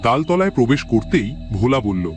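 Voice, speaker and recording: A man's voice: medium pitch, big pitch swings, moderate pace, faint music behind. A man narrating a horror cartoon story in a grave, suspenseful way.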